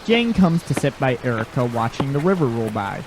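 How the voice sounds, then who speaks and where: A man's voice: medium pitch, very animated, brisk, over music. A man reading scripted narration for an audio drama as its narrator.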